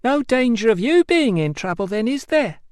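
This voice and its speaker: A man voicing a sharp-tongued barber's wife who scolds her husband. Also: very high voice, big pitch swings, normal speed, smooth, dry recording, studio quality, voice pushed up. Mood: scolding. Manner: scolding, reproving.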